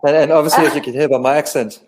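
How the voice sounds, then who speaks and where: A man's voice: a little high, fairly even intonation, very fast. A man who is a company guest, explaining his firm's work fluently with frequent ums and restarts over a video call.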